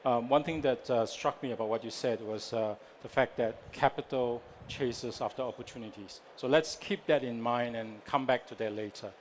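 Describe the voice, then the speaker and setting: A man moderating a conference panel, posing measured, prepared questions. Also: medium pitch, a little hiss or hum.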